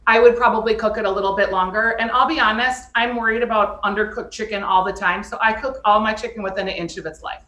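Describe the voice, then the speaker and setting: A woman's voice: medium pitch, fairly even intonation, fast, few pauses, sharp tone, echoey room. A woman guest explaining how to cook chicken, practical and step by step. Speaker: cooking guest. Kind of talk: cooking tips.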